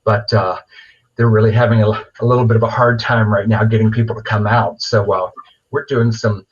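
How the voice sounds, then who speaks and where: Husky middle-aged man, dull lo-fi audio. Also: on the low side, flat delivery, fairly quick. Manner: enthusiastic, rambling. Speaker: puppeteer, interview guest. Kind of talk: casual chat. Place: remote video call. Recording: dull, lo-fi video call audio.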